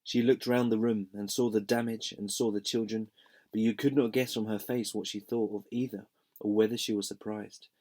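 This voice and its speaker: A young man with a slightly hoarse, croaky voice reads a children's story aloud. His tone is gentle and friendly, low in pitch and mellow. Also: flat delivery, normal speed. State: slightly hoarse from a sore throat. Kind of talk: reading a children's book aloud.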